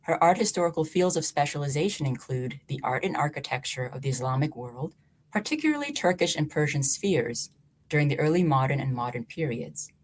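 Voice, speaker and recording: A woman hosting an event, reading a scripted, formal introduction of a speaker. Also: very deep voice. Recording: faint background noise.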